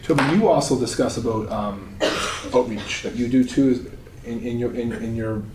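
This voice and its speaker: A man moderating a panel discussion, feeling his way through questions in a halting, roundabout manner. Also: mid-range voice. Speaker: panel moderator, art teacher. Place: gallery room, picked up from a distance. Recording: echoey room, distant mic, background noise.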